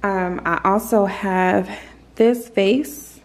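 A young woman in her twenties talks to a handheld camera in her apartment, unhurried and relaxed in pace. Her voice has a gravelly, fry-tinged edge. She rambles casually with plenty of ums and repeated phrases. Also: medium pitch. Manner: chatty and rambling, many ums, repeats herself. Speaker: lifestyle vlogger. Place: apartment living room and kitchen, indoors. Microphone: handheld camera on a grip, close.

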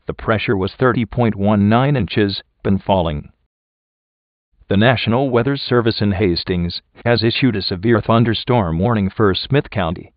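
A man's voice: on the low side, no room sound. Muffled synthetic man reading weather bulletins. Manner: mechanical, impersonal. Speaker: automated weather radio announcer. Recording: muffled lo-fi weather radio recording.

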